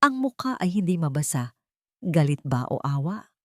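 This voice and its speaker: A Filipino woman reads a dramatic story as its narrator. Her voice sits a little low and is very soft-edged and mellow. The sound is dry and close, with no room around it. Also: very animated, moderate pace, no room sound.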